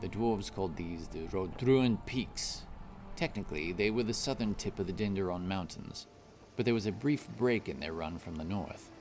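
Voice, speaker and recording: A man narrating a scripted fantasy story in a measured, deliberate storytelling manner. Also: low-pitched, a little mellow, soft background music.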